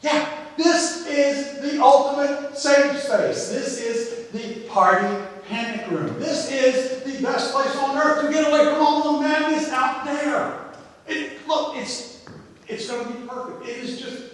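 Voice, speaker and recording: A man's voice: very high-pitched, a little slow, fairly bright tone. A man playing an eager, bossy dad showing off his doomsday bunker in a stage comedy, stammering and repeating himself like a salesman. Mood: proud. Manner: salesman-like enthusiasm, repeats phrases, stammers between ideas. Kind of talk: amateur stage comedy acting. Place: indoor theatre stage. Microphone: distant microphone. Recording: echoey theatre stage, distant microphone.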